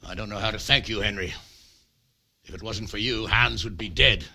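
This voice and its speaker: An actor playing a gruff, middle-aged medieval lord in a video game, blunt, earthy and commanding. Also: male voice, fairly low pitch, normal speed, pushed and bright, faint music behind, exaggerated voice. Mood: grateful, hearty. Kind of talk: video game cutscene acting.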